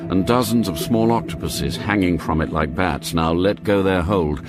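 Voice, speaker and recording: An actor narrating a spy thriller radio drama as a grave storyteller. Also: male voice, sits low, moderate pace, over music, natural voice. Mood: measured, suspenseful.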